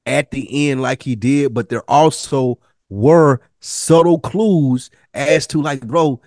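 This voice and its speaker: Male podcast co-host, mid-pitched, dry recording, slangy and emphatic, repeats himself for stress. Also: takes it slowly, a little mellow, no echo. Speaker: podcast co-host reviewing a TV drama. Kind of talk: casual chat.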